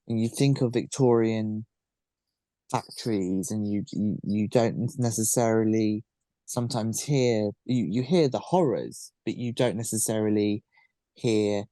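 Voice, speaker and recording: Slow, mellow male podcast host. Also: lowish voice, no echo. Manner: halting, searching for words. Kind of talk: casual interview chat.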